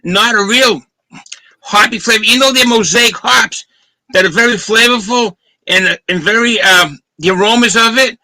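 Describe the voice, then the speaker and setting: Bright-voiced Boston man reviewing beer. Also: high voice, moderate pace, no echo, low-quality recording. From Boston, Massachusetts. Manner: detailed, descriptive talk about flavor. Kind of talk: casual chat, beer tasting review.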